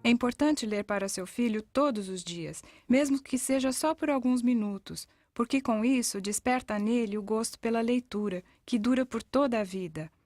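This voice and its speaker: A woman's voice: on the high side, moderate pace, few pauses, a little mellow. A woman with a Brazilian accent reading a scripted narration for an educational video.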